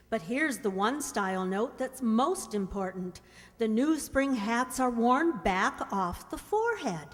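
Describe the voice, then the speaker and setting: A woman reading a scripted advertisement in an old-time 1940s radio style. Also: fairly high pitch. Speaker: commercial announcer. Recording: echoey hall, long reverb.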